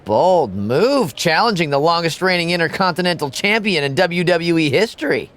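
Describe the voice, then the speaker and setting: A smug, needling assistant. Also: male voice, on the high side, very animated, normal speed, a bit bright. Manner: smug, sarcastic. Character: smug male assistant, sly and teasing. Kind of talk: video game voice acting.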